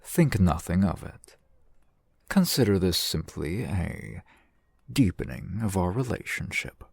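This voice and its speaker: Man playing a deep-voiced, unhurried fantasy shopkeeper. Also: sing-song, vocal fry, husky, no echo, heavily acted. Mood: gracious, measured. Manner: formal, deferential, eloquent merchant patter. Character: courteous, shrewd male fantasy shopkeeper and gem trader. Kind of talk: ASMR fantasy roleplay, softly acted one-sided dialogue.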